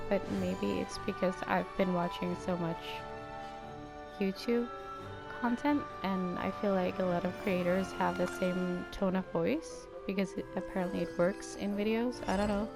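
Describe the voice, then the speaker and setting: A woman's voice: mid-range voice, creaky. A young Danish woman, a native Danish speaker with an Americanized English accent, chatting casually as she comments on her gameplay in a rambling, Valley Girl YouTube tone. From Denmark. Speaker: gaming YouTuber.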